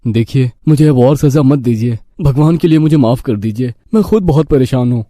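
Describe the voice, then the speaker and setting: A man's voice: medium pitch, normal speed, warm tone, no echo, natural voice. An actor playing an earnest, easily frightened young village father, polite and pleading in a dramatic storybook tale. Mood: apologetic.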